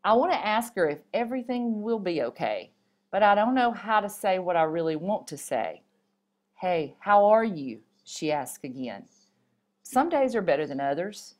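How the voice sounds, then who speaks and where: A woman reading stories and poems aloud in an expressive storybook manner, with an occasional stumble. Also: medium pitch, normal speed.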